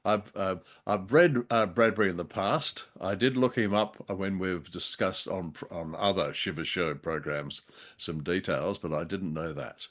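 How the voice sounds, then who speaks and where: A man co-hosting a conversational radio drama review, speaking in a measured, slightly hesitant and mildly wry way. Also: sits low, fairly bright tone. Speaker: co-host.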